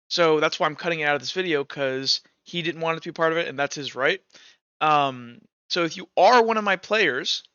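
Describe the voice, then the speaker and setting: Male game master, forceful and clear, close dry sound, improvises scenes with halting delivery. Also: on the high side, no echo, highs cut off. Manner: halting, searching for words. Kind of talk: improvised narration of a tabletop roleplaying game.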